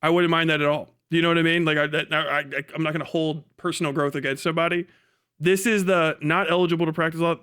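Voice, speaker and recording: A man's voice: fairly high pitch, little pitch movement, fast, a bit bright, clean tone. A man livestreaming mocking commentary on a video, casual and sweary, with incredulous exclamations.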